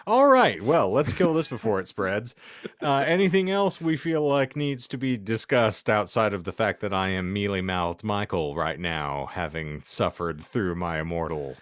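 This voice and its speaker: Male podcast host, low register, very animated. Florid, theatrical banter, wordy and comedic. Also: moderate pace, dry recording, studio quality.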